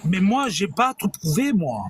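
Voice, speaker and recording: A man's voice: sits high, big pitch swings, fairly quick, a loud crowd. A man defending his faith in a heated online debate, insistent and interrupting. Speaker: debate participant.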